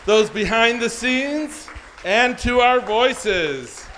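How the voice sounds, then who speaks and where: High-voiced man giving informal announcements. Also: expressive, extremely slow, sharp tone, a little hiss or hum, rough recording. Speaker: congregational announcer. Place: social hall, live.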